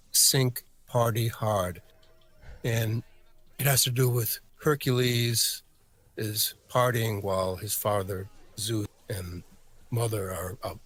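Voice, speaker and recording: A man answering as an interview guest, a voice actor talking casually in a halting, reflective way. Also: lowish voice, fairly bright tone, slightly rough.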